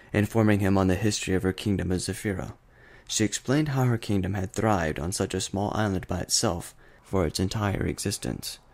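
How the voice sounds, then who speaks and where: A man narrates a fantasy tale from a script. His voice sits on the low side and moves expressively up and down. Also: creaky.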